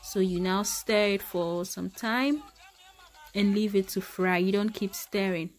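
A woman's voice: medium pitch, fairly even intonation, a little slow, faint music behind. A woman demonstrating a recipe in her home kitchen, chatty and addressing viewers as her people. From Ghana. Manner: chatty, talks to viewers as "my people", exclaims over the food. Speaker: cooking vlogger. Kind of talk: casual cooking demonstration.

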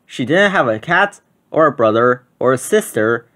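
A storybook narrator reads a picture book aloud for children. The delivery is a scripted read-aloud in a medium-pitched voice. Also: animated, moderate pace, a bit bright.

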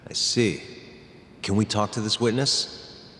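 An actor playing a calm, stubborn lawyer-detective in his thirties in a video game, terse and resolute. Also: measured pace. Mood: cool, questioning. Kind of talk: video game cutscene acting. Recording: echoey hall, rumbling low noise.

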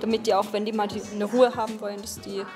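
A woman's voice: mid-range voice, flat delivery, fast, darkish tone. A young woman giving casual interview answers as a café guest. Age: young adult. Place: indoor café. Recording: music clearly behind voice.

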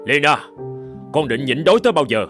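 Casting: domineering middle-aged cartoon dad, harsh, animated pitch, music underneath. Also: male voice, fairly quick. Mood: angry, commanding. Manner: harsh, commanding. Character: stern, domineering wealthy father, bald and bearded. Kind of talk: dubbed cartoon drama. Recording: music behind the voice.

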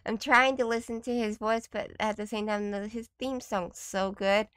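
A woman's voice: medium pitch, steady tone, normal speed, fairly bright tone, clean tone, dry recording, faint music behind. A woman giving casual reaction commentary as a video host, in an appreciative, easily impressed way.

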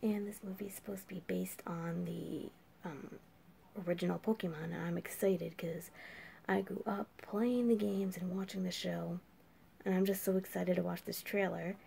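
A woman's voice: lowish voice, fairly even intonation, moderate pace, gravelly, warm tone. An eighteen-year-old woman with a scratchy, sore throat, chatting casually as a reaction video host from a small room late at night. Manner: puzzled, exclaiming questions. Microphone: webcam at desk.

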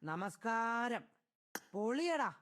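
A man's voice: very high voice, animated, drawn-out words, fairly bright tone, slight background noise. A man delivering short catchphrases and callouts for a game voice pack.

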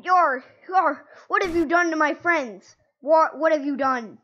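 A performer voicing a combative pirate animatronic fox with drawn-out arrs, in an improvised horror game role-play with some background noise. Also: high voice, pushed and bright, lo-fi sound. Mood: indignant.

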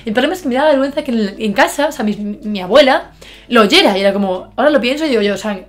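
A woman in her twenties with a Galician Spanish accent, chatting excitedly and mocking herself in a bedroom vlog. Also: on the high side, fast. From Galicia, Spain. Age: 20s. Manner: excitable, self-mocking, bursts of shouting and laughter. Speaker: YouTuber. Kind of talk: reaction vlog, casual chat. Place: bedroom, indoors. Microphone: webcam with earphone mic.

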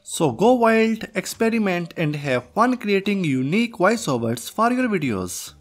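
Male tutorial host, scripted narration, very lively intonation, high voice, music underneath. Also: measured pace. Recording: music behind the voice. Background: background music.

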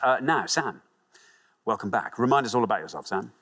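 A man's voice: fairly low pitch, lively intonation, a little fast, a bit bright. A middle-aged man hosting a studio quiz show with a lapel mic, chatty and encouraging. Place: large TV studio with audience.

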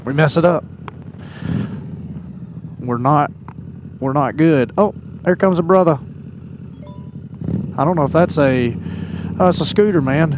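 Male motorcycle vlogger, slightly high voice, preachy but colloquial, recorded outdoors on the move with very loud vehicle noise. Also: unhurried, long pauses. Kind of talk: casual faith talk while riding. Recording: loud engine, wind and road noise.

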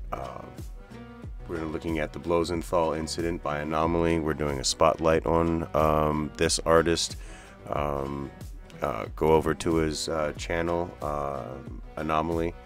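Very deep-voiced man hosting a casual show. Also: little pitch movement, unhurried, faint music behind. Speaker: host of a reaction show. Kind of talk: casual reaction commentary to viewers.

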